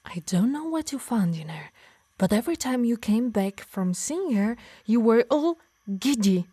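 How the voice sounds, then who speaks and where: Girl doing a yandere harpy asmr thing, italian accent, breathy n whispery, clingy and threatening, super dry close mic. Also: on the high side, big pitch swings, dry recording. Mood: jealous, bitter. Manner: soft and breathy, slipping into whispers, slow menacing emphasis. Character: possessive yandere harpy woman, tender yet menacing, obsessed. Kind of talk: intimate ASMR roleplay acting.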